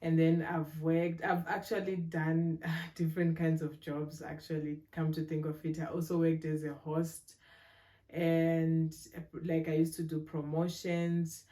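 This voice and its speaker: A woman in her twenties from Zimbabwe, answering interview questions in a thoughtful, measured way with frequent fillers and restarts. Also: deep voice, little pitch movement, dark tone. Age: 20s. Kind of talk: relaxed conversational interview answers.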